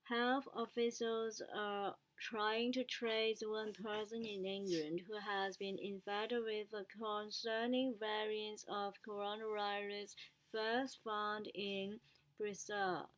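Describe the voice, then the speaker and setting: A woman who is a native Chinese speaker learning English, reading news aloud haltingly and repeating words to practise. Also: mid-range voice, little pitch movement, low-quality recording.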